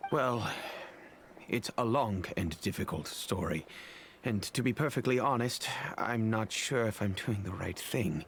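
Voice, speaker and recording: A courteous, fatherly merchant master from a video game. He speaks in a lowish voice with formal, solemn phrasing, over faint noise. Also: unhurried. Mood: reluctant. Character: grave, courteous middle-aged male merchant master, fatherly.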